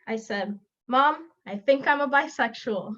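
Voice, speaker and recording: A young woman from South Florida gives a personal narrative speech over a video call, earnest, pausing and restarting now and then. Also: sits high, fairly bright tone. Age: young adult. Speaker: student speaker. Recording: lo-fi online call audio.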